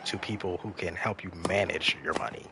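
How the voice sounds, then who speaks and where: A man's voice: low voice, fairly even intonation, fairly quick, background noise. A man in his thirties chatting casually and rambling, repeating himself as he streams a game. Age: 30s. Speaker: gaming streamer. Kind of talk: casual chat while playing a game.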